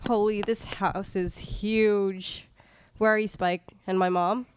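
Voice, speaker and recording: A woman's voice: mid-range voice, steady tone, takes it slowly, slight background noise, lightly acted. A young woman playing a headstrong daughter out for revenge in a live radio play. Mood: urgent, demanding. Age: young adult. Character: vengeful, headstrong daughter hunting a vampire. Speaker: student radio play performer. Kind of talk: live radio theatre acting. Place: small classroom studio. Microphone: stand microphone, close.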